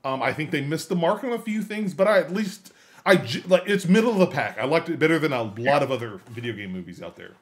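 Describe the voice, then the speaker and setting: A man co-hosting a podcast, chatting casually and haltingly, often trailing off as he thinks. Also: on the high side, animated. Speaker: podcast co-host. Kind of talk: casual chat.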